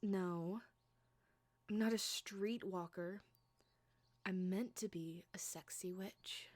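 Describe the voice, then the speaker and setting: Soft-spoken teasing woman, slow and close. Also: medium pitch, fairly even intonation, no room sound. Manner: playful, teasing, often whispering close to the mic. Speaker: voice actress performing an audio roleplay. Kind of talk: flirty ASMR roleplay.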